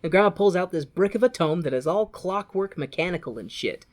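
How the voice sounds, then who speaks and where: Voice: medium pitch, expressive, brisk. A podcast co-host giving a casual, jokey, irreverent retelling of a film.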